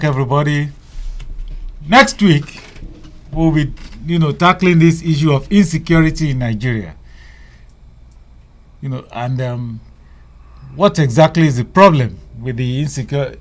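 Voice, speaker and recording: A man's voice: fairly high pitch, moderate pace, long pauses. A male radio host talking casually, with many fillers and restarts.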